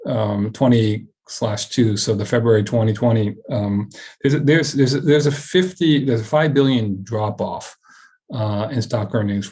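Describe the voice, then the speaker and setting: A man's voice: fairly low pitch, darkish tone, husky. A man speaking as a company chairman on a web earnings call, giving a semi-scripted business presentation and often repeating words and restarting.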